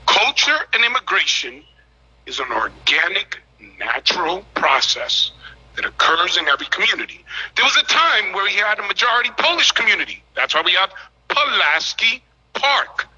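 A man speaking as mayor, addressing a city council meeting in a measured, formal and emphatic way. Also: high voice, very animated, bright, forceful tone, husky. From United States. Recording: dull lo-fi meeting audio.

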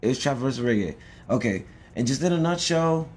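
A man's voice: mid-range voice, lively intonation, fairly quick, darkish tone, husky. A man co-hosting a podcast in casual chat, rambling and joking with lots of fillers. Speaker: podcast co-host.

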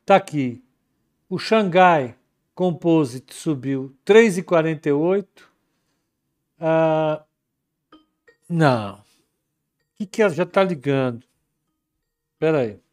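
A man talks through the day's market numbers in a loose, chatty way, reading viewer comments aloud as he goes. His voice sits a little high for a man. He takes his time and never hurries. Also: expressive, slow pace, long pauses, a little mellow, soft background music. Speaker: market commentary livestream host. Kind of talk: casual live market commentary.

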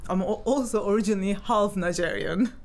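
A woman's voice: mid-range voice, a little fast, darkish tone, slight background noise. A young woman from Turkey, a designer, chatting as a podcast guest, rambling and laughing often. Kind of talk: casual interview chat.

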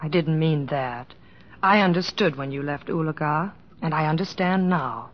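A woman acting as a comedian's devoted wife, earnest and gently nagging, narrating and playing scenes in an old radio drama. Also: deep voice, normal speed. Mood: contrite, tender. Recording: dull vintage radio recording, faint music.

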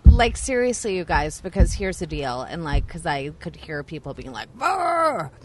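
A woman's voice: fairly low pitch, sing-song, moderate pace, a little mellow, slightly rough. Chatty, profane woman podcast host. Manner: chatty, rambling, many fillers, profane, laughs a lot. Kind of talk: casual podcast chat.

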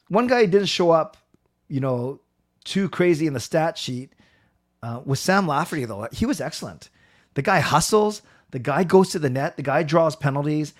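A middle-aged man with a loud voice talks hockey on his own livestream, chatty and rambling, full of ums and restarts. His pitch sits right in the middle for a man. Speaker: livestream host. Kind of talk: casual hockey livestream commentary.

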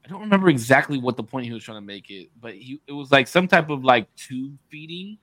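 A man's voice: mid-range voice, animated, quick pace, a bit bright, dry recording. A man co-hosting a podcast, talking loosely and profanely, rambling and restarting mid-sentence. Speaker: podcast co-host. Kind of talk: casual chat.

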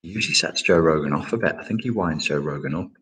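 A man's voice: a bass voice, fast, a bit bright. A man hosting a casual live question-and-answer stream, talking in a rambling, reflective way with many fillers and restarts. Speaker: livestream host answering chat questions.

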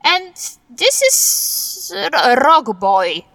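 A woman's voice: sits high, wildly swooping pitch, very slow, fairly bright tone, no room sound, exaggerated voice. A woman playing a brash, bossy superheroine in a comedic audio drama, blunt and dismissive, speaking in foreign-sounding broken English. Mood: boastful. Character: brash, bossy female cat-themed superhero with tragic backstory.

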